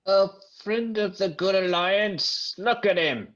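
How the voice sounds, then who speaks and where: A man's voice: high voice, slow, fairly bright tone. A man playing an aggravated, sarcastic pirate in a comic fantasy table read. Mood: exasperated.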